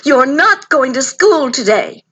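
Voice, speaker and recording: A woman's voice: fairly high pitch, big pitch swings, takes it slowly, breathy edge, lo-fi sound, heavily acted. A woman voicing an alarmed, bossy mother in a children's story, with a dramatic, fussing manner. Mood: concerned, stern.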